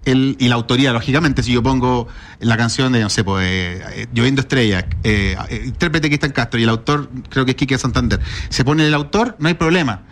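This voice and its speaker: A man's voice: mid-range voice, brisk, slight background noise. A Chilean man, a musician being interviewed, chatting casually and telling anecdotes in colloquial speech with quoted dialogue. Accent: Chilean Spanish.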